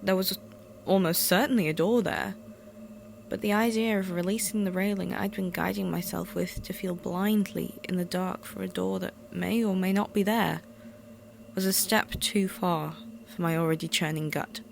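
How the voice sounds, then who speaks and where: A woman's voice: fairly low pitch, fairly even intonation, moderate pace, creaky. A woman reading a scripted first-person horror story as narrator. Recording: faint background noise, amateur recording.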